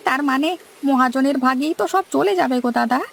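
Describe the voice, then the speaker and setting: A woman playing a young, anxious village fisher hand in a cartoon, with plaintive, folksy rural speech and a creaky voice. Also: high-pitched, a little fast, a little hiss or hum. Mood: aggrieved. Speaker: cartoon voice actor. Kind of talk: dramatised Bengali cartoon story dialogue.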